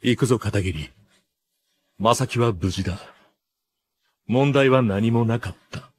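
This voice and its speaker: An actor playing a stern, reserved young noble heir in an anime, husky and formal in his serious delivery. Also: mid-range voice, slow pace, natural voice. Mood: composed. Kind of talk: anime voice acting.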